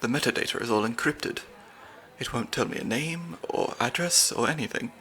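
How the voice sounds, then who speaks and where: A man's voice: medium pitch, moderate pace, slight background noise, lightly acted. A young man voicing a nerdy, slightly anxious stand-in spy handler with dry wit, in an ASMR audio roleplay. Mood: analytical. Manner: analytical, dry wit, soft-spoken.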